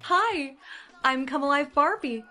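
A woman's voice: high voice, lively intonation, measured pace, pushed and bright, full character voice. A woman voicing a perky female living doll who talks in a sweet, sing-song, eager-to-please way. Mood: chirpy.

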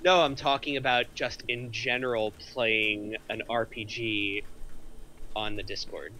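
Man, bright forceful voice, casual chat, online call, faint noise. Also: lowish voice, fairly even intonation, a little slow, poor audio. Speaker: script reader, hobby gamer.

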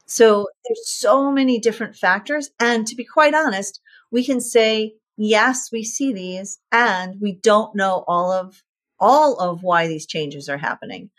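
A woman in her fifties, a veterinarian guest, explaining clearly in an interview and using vivid comparisons and repetition for emphasis. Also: mid-range voice, measured pace, fairly bright tone.

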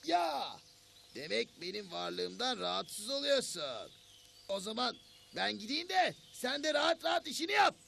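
Grumpy, touchy cartoon bird: extremely high, heavily gravelled, indignant and theatrical. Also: male voice, big pitch swings, measured pace, pushed and bright, slight background noise, full character voice. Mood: offended. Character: grumpy, touchy bird who complains about noise. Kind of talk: children's cartoon voice acting.

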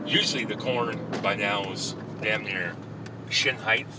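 A man's voice: fairly low pitch, measured pace. A man with an American Midwestern accent talking casually and in a rambling, folksy way to viewers while driving. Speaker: vlogger. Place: inside a moving car on a rural road. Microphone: handheld camera in the car. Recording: distant mic, loud car road noise.

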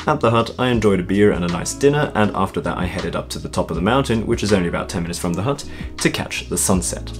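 A young man narrating his own hiking vlog in a relaxed, chatty way from his bedroom, with a light laugh at himself now and then. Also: low voice, fast talker. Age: young adult. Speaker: vlogger. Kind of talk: casual travel vlog narration. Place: bedroom, indoors. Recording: music bed under the voice.

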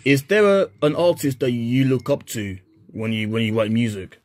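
A woman fan asking halting questions on a video call, repeating words as she goes. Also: very deep voice, lively intonation, darkish tone, a little hiss or hum. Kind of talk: casual video call chat.

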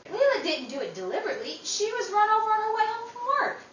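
A woman's voice: very high voice, big pitch swings, fast talker, fairly bright tone, lo-fi sound, heavily acted. A woman playing a sensible, protective working sister in an old-time radio style drama, motherly and emphatic. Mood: firm. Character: Emily, sensible, protective young working sister, firm peacemaker. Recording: roomy, distant mic, noisy.

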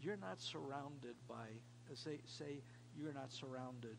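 An American man in his fifties from New York, a pastor giving an earnest, emphatic sermon with repeated phrases and pauses. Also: mid-range voice. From New York, United States. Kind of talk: sermon to a small congregation. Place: indoors, cafeteria used as a church hall. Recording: clearly noisy room recording with hum.

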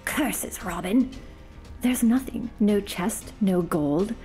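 A woman's voice: mid-range voice, slow pace, a little mellow, faint music behind, natural voice. A woman voicing a bold, clever young man who is a tanner, speaking wryly. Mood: dismayed.

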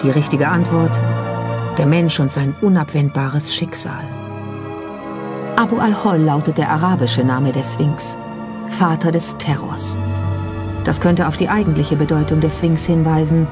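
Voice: medium pitch, fairly even intonation, a little slow. A narrator reading a scripted documentary, presenting it as the narrator of the piece. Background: music behind the voice.

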